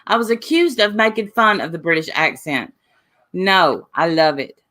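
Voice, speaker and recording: A woman with a Southern American accent co-hosting a casual chat, animated and teasing as she answers viewers. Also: fairly low pitch, normal speed. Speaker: co-host of a chat stream.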